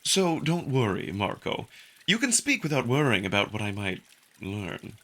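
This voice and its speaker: A man's voice: medium pitch, huge pitch swings, moderate pace, fairly bright tone. A man voicing a suave, smugly curious male jackal alien in a visual novel, with polite, needling, unruffled delivery. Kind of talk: visual novel character acting.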